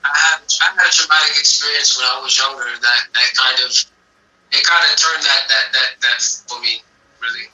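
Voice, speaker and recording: A man from the United States whose first language was Arabic, a singer guesting on a casual interview podcast, speaking haltingly with many restarts. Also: medium pitch, fairly even intonation, bright, forceful tone, muffled recording.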